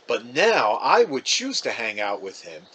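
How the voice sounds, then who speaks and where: A man's voice: medium pitch, very animated, unhurried, sharp tone, husky, full character voice, poor audio. A man reading a storybook as a chatty, wounded, defensive fifth-grade boy, casual and emphatic. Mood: earnest.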